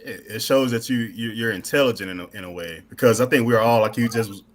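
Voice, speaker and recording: A man's voice: on the low side, quick pace, a little hiss or hum. A man co-hosting a casual talk, earnest, often restarting his sentences. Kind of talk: casual chat.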